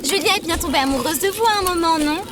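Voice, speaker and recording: A woman's voice: very high voice, quick pace, background noise. An actress playing a prim, nosy, mocking woman in an audio drama, speaking formally and incredulously. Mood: curious. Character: Constance, prim, nosy, mocking female companion listening to a story.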